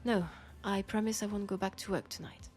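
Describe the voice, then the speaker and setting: A woman with a French accent playing a weary, guarded policewoman confiding quietly and hesitantly in an intimate sci-fi audio roleplay. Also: medium pitch, steady tone, fairly quick, soft background music, close to an everyday voice. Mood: gentle, apologetic.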